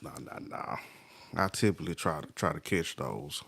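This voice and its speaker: Laid-back deep-voiced man chatting late at night. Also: lively intonation, normal speed, gravelly, fairly bright tone, slight background noise. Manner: laid-back, talks to viewers by name, trails off. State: stuffy nose. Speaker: livestream host. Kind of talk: casual late-night livestream chat.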